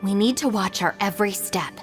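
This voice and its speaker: A woman playing a calm, cautious, serious young adventurer in a video game, speaking in a measured, earnest way. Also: medium pitch, soft background music, close to an everyday voice.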